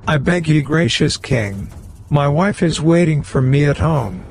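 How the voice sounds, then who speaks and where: A man's voice: a little high, lively intonation, measured pace, vocal fry. A man playing an anxious, pleading peasant husband in a storybook tale, with a lamenting manner. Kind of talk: storybook character acting.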